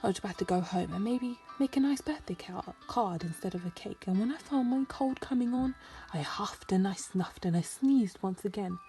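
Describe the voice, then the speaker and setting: A woman's voice: mid-range voice, moderate pace, dark tone, lightly acted. A woman with a British accent voicing a chatty, aggrieved wolf who tells his own side in a children's storybook read-aloud. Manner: conversational, self-justifying storytelling. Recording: music behind the voice.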